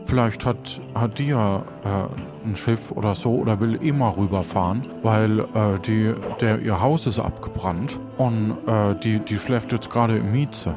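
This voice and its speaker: A man's voice: on the low side, unhurried, hardly any pauses, music in the background. A man playing an awkward, evasive pirate crewman who stammers and trails off in an improvised audio adventure.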